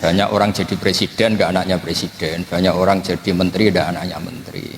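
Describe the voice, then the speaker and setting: A man's voice: low-pitched, fairly even intonation, quick pace, a little hiss or hum. A middle-aged man with a Javanese accent giving an informal, reassuring sermon full of anecdotes. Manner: plainspoken, reassuring, code-mixes Javanese. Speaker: Islamic preacher (Gus). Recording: reverberant large hall.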